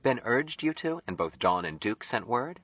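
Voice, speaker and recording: A man reading an audiobook, voicing an earnest young woman who gently insists and reassures. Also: mid-range voice, very animated, normal speed, pitched above the performer's own voice. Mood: pleading. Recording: slightly dull lo-fi audio.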